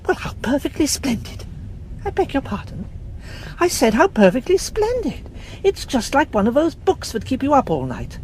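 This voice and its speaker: A woman's voice: medium pitch, darkish tone, a little hiss or hum, pitched above the performer's own voice, natural voice, poor audio. Lady doing a chatty young gent, teasing, breezy, voice jumps around a lot. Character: chatty, gallant young English gentleman.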